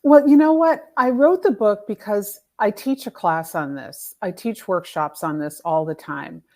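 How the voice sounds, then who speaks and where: A woman's voice: mid-range voice, a little slow, darkish tone. A woman explaining her work as an author and coach in a conversational interview, emphatic and fond of acting out example quotes. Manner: emphatic, illustrates points with imagined quotes. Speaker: guest, author and activism coach.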